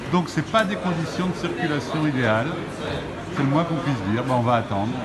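A man's voice: fairly high pitch, expressive, brisk, over a noisy crowd, poor audio. A man narrating a road trip with friends in a wry, casual way from inside a moving car, filming on a phone. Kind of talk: casual running commentary. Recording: loud road and engine noise.

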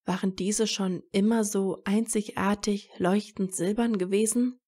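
A woman's voice: medium pitch, fairly even intonation, a little slow, mellow. A woman narrating a scripted fan fiction story as a storyteller.

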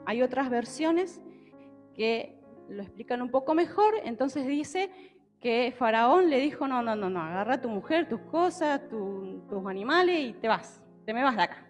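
Lively young Argentine woman preaching in a hall. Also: on the high side, moderate pace, faint music behind. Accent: Argentine Spanish. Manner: lively and conversational, often checking with listeners. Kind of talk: sermon with Bible reading. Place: church hall with a stage, indoors. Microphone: handheld mic. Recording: long church hall reverb.

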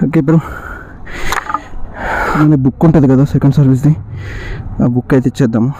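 A man's voice: mid-range voice, steady tone, moderate pace, muffled recording. A man with a Telangana Telugu accent, chatting casually as a motovlogger and mixing English words into his speech. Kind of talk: casual vlog chat. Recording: vehicle and ambient noise behind. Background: faint vehicle noise.

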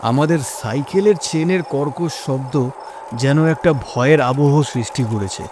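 A man's voice: mid-range voice, a little slow, background noise. A man narrating a scripted first-person horror story in a dramatic, suspenseful manner.

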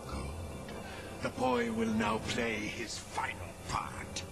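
Game villain, monster leader: grandiose, wildly swooping gravelly voice over loud music. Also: male voice, on the low side, wildly swooping pitch, exaggerated voice. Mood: sinister, gloating. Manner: theatrical, drawn-out, sinister. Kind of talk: video game cutscene acting.

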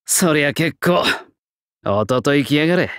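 A gruff game swordsmith who looks young and talks like a crusty old craftsman. His fairly high male voice moves with lively swings, unhurried and blunt. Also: no echo, clean studio sound. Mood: gruff, reflective. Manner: blunt, rough old-fashioned artisan speech. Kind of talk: Japanese game character voice lines.

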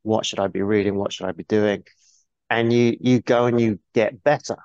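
Gravelly low man on a video call. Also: steady tone, normal speed, darkish tone, no echo. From born in New Zealand, grew up in the UK. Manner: candid, rambling with restarts. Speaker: guest, business board coach. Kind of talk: casual interview talk. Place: small bright room, indoors. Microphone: earphone mic on a video call. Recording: dull dry video call audio.

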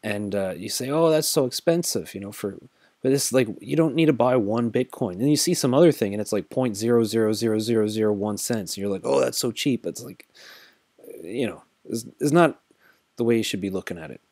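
A man's voice: lowish voice, a little fast, darkish tone, no echo, studio quality. A tired man casually thinking aloud while walking through a screen-recorded tutorial, rambling with many fillers. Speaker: crypto tutorial host.

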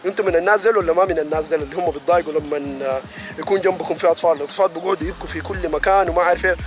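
A man's voice: a little high, music in the background. A man vlogs about a city event. He chats casually and enthusiastically, speaking straight to his viewers. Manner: rapid, enthusiastic, addresses viewers directly. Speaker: vlogger reviewing a city event.

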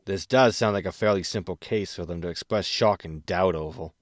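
A man playing a gruff, sarcastic spaceship captain and military pilot in a science fiction comedy audio drama. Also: deep voice, fairly quick, no room sound, clean studio sound, close to an everyday voice. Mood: dry. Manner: sardonic, grumbling.

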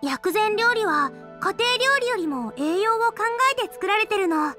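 A woman's voice: extremely high pitch, lively intonation, unhurried, over music. A woman playing a cheerful, eager teenage girl cook in a video game, perky and earnest. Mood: bright, grateful. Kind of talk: Japanese game voice acting.

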